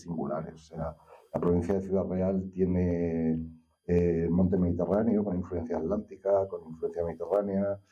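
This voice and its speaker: A mature man with a Spanish accent answers interview questions in a deep, very dark, husky voice. He is thoughtful and digressive, often hesitating as he reflects. The sound is a little muffled. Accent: Spain. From Castilla-La Mancha, Spain. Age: middle-aged or older. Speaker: interview guest, naturalist. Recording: slightly dull, lo-fi sound.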